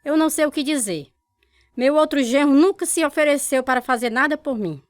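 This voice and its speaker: Casting: woman playing scornful, unforgiving Brazilian mother, high-pitched scolding voice, close dry radio drama sound. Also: fairly quick, no echo, lightly acted. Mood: wary, surprised. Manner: scolding, cutting. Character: harsh, bitter mother, spiritist, scornful and unforgiving.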